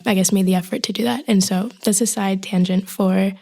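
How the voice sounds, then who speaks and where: A young woman co-hosts a casual podcast and speaks with warmth and enthusiasm. Fillers like "you know" and "like" run through her sentences. Her voice sits at an average pitch for a woman. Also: fairly even intonation, brisk, vocal fry. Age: young adult. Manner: warm and effusive, with frequent fillers like "you know" and "like". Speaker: podcast co-host. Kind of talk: casual interview conversation.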